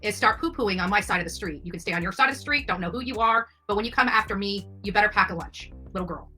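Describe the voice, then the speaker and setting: A woman speaks straight to her audience in a bright, hard-edged voice at a middling pitch. Her delivery is confrontational and scolding, with a condescending edge. Faint music plays behind her. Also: fast. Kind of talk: informal direct-to-camera rebuttal.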